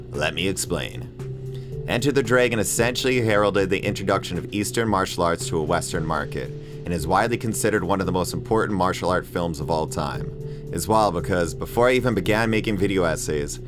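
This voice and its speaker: A man narrating a scripted comedic video essay as its host, with an irreverent, sarcastic and crudely joking manner. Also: sits low. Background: background music with guitar.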